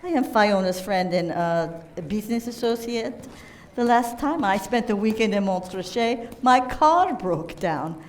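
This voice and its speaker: A woman's voice: mid-range voice, animated, unhurried, a little hiss or hum, close to an everyday voice. A woman playing a spoiled, haughty rich daddy's girl in an amateur radio play, theatrical and whiny. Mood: dismayed.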